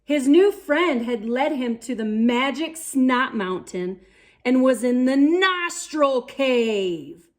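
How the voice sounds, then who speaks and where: High-voiced woman reading a children's story slowly. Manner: theatrical, deliberate delivery with dramatic gasps and exclamations. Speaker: storyteller, channel host. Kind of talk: expressive children's story read-aloud.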